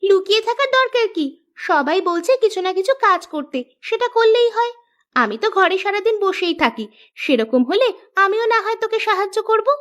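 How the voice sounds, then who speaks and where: A woman voicing a sharp-tongued, sensible young village wife in a cartoon, with a bossy, practical manner. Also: extremely high pitch, big pitch swings, moderate pace, full character voice. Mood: coaxing. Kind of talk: cartoon dialogue.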